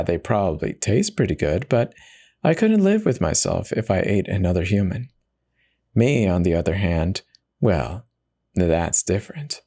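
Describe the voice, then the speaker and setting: A man's voice: lowish voice, mellow. A man voicing a self-justifying, conversational narrator who casually confesses in a first-person dramatic horror reading. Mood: casual, defensive. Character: confessional devout man turned self-eater, casually rationalizing.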